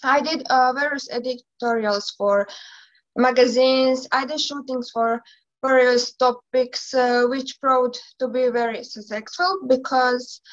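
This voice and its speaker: High-voiced young Croatian woman. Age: 20s. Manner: careful non-native English with repeats. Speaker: pageant candidate, economics student. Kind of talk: self-introduction on a video call. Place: indoor room. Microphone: video call. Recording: lo-fi video call audio.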